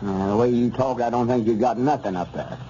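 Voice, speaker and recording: Man playing mellow, pompous old Southern country justice. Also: mid-range voice, steady tone, brisk, lightly acted. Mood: exasperated. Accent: rural Southern US. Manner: folksy, pompous, drawling. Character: exasperated, self-righteous old country justice of the peace. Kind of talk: 1940s radio comedy acting. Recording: muffled, noisy old radio recording.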